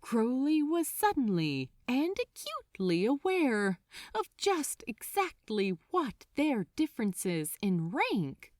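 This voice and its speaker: A woman narrating scripted fiction as an audiobook reader, with an expressive delivery. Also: a little high, no echo, clean studio sound.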